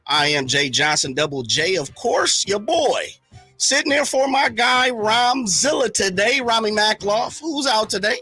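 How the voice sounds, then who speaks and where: A man's voice: high-pitched, animated, unhurried, bright, forceful tone, breathy edge, soft background music. A man co-hosting a sports radio show, talking in a hype, slangy, playful way. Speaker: radio co-host.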